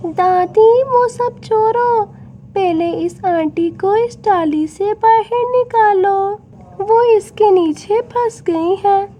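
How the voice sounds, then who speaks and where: A woman's voice: very high voice, slow pace, mellow, dull audio, a little hiss or hum. A woman voicing an earnest, polite little boy bird in a cartoon, childlike and pleading. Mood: urgent, earnest.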